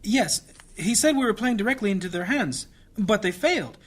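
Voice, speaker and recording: A woman's voice: mid-range voice, animated, normal speed, slightly rough. A woman playing a humble, troubled small-town priest, earnest and dramatic, in a fantasy audio drama.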